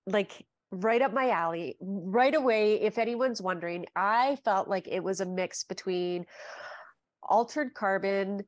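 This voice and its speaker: A woman's voice: mid-range voice, measured pace, no echo. A woman in her forties hosting a casual interview over a video call from her living room, chatty and gushing, with plenty of fillers. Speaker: podcast host.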